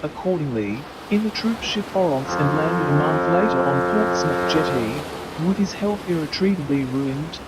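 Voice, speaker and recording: A man narrating a scripted story as in a staged radio play, with dramatic, deliberate phrasing. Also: on the high side, big pitch swings, over loud music, rough recording. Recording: crowd sound behind the voice.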